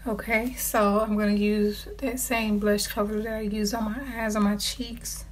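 A woman, hoarse from losing her voice, talking softly through a casual makeup tutorial in a small room, close to the camera. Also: mid-range voice, level tone, a little slow, poor audio. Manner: soft-spoken, drops to a near whisper. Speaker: beauty vlogger. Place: small dark room indoors. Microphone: camera close to the face.

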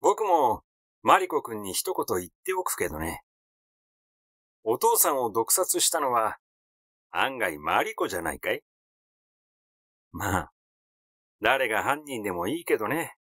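A man voicing a coolly sly young heir in a story reading, with a smooth, nonchalant, teasing manner. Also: medium pitch, sing-song, a little slow, pauses often, fairly bright tone, dry recording, clean studio sound.

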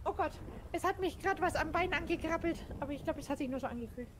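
A woman's voice: sits high, quick pace, noisy background. A woman improvising role-play in an online game, chatting with other players.

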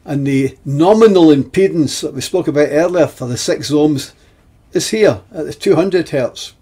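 An elderly man explains technical material step by step, as in an instructional video. His husky voice is mellow and unhurried. He phrases things deliberately, pausing often as he goes. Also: fairly high pitch. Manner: deliberate, halting phrasing with pauses. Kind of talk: explanatory technical lesson over slides.

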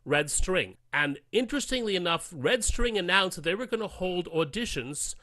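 A man's voice: high voice, animated, moderate pace, pushed and bright, dry recording. A man recounting things casually as a guest in an interview. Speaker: podcast guest, voice actor.

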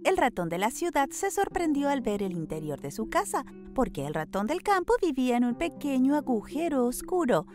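A woman narrating a scripted children's story with an expressive storyteller's delivery. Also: sits high, normal speed, few pauses, soft background music.

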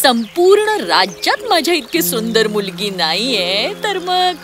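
A woman's voice: fairly high pitch, big pitch swings, a little slow, background noise. A woman playing a vain, cruel young woman in a children's cartoon fairy tale, with a haughty, scornful, theatrical manner. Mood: smug.

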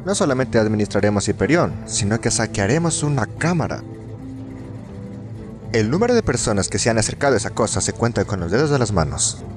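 A cocky, jittery young corporate climber, voiced by a man, talking with a lively, up-and-down pitch. Faint game music plays behind him. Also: a little fast. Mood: chatty. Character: nervous young male corporate climber, cocky but jumpy. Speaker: fan dubber. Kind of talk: fan dub of a game.

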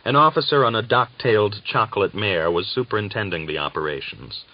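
A man narrating a novel as an audiobook, with a measured, deliberate and dramatic literary delivery. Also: lowish voice, dry recording. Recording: dull, lo-fi audiobook recording.

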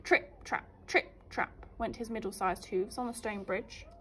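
A woman teacher reading a story aloud for young children in an expressive, emphatic way. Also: a little high, a little slow, slight background noise. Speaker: primary school teacher.

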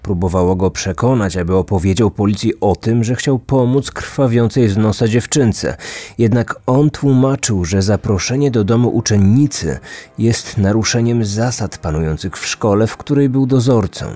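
A man narrating a scripted true crime story as podcast host, in a measured, serious manner. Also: on the low side, warm tone. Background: background music.

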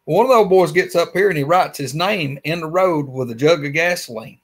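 A man's voice: fairly high pitch, brisk. Alabama man spinning a wild yarn. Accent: Southern US, Alabama. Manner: animated, rambling storyteller with lots of fillers. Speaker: guest. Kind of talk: casual storytelling interview. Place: his man cave, small indoor room. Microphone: webcam on a video call.

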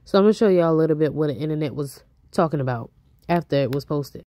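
Woman host, stuffed-up nose, breathless rapid gossip chatter, warm low tone. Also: no echo, clean studio sound. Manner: chatty, fast, reads out posts. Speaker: YouTube host. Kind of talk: casual celebrity gossip commentary.

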